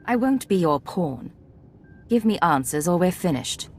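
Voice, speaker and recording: A guarded secret agent talks in a slightly low voice. She is cool, clipped and no-nonsense, acted as in a scripted video game. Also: lively intonation, measured pace, darkish tone. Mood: guarded, demanding.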